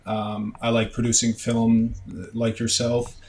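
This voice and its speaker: A young man from Canada answering interview questions in a halting way, with many fillers and restarts. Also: medium pitch, monotone, darkish tone, slight background noise. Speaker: guest, medical student.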